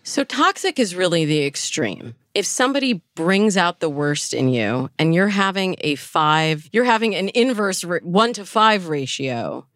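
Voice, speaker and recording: A woman's voice: lowish voice, lively intonation, moderate pace, hardly any pauses, a bit bright, no room sound, studio quality. A woman speaking as a dating coach and interview guest, explaining relationship advice conversationally with illustrative examples.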